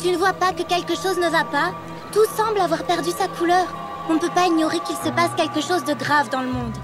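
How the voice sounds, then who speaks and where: A bubbly, eager cartoon heroine speaks in a very high voice. Children's music plays clearly under her lines. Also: brisk. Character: cheerful young woman in a cartoon friend group.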